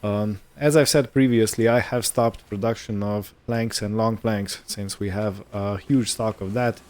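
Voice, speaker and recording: A man giving casual roleplay gameplay commentary in a hesitant, rambling, unhurried manner. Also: fairly low pitch, fairly even intonation, a little mellow. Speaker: gaming video host.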